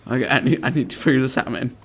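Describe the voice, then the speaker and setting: Gravelly man reading a visual novel. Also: mid-range voice, fairly even intonation, very fast, fairly bright tone. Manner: self-deprecating, sweary, stumbling over words. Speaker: gaming video host. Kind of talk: casual visual novel read-aloud with offhand commentary.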